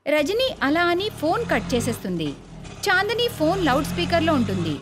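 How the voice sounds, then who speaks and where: Female cartoon storyteller, high voice, very lively intonation. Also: background noise. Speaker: narrator. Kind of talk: scripted storytelling narration for a cartoon.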